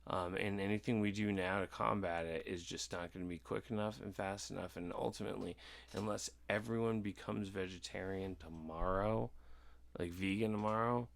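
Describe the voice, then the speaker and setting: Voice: low voice, steady tone, moderate pace, vocal fry. A podcast host chatting casually and giving opinions in an informal, emphatic way.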